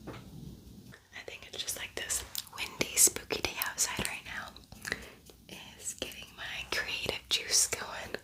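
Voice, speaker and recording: A woman's voice: slow pace, rough recording. Whispering woman, ASMR style. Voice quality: breathy, unvoiced whisper. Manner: chatty, rambling, thinking aloud. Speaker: ASMR creator and makeup tutorial host. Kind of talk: whispered ASMR makeup tutorial with casual chat.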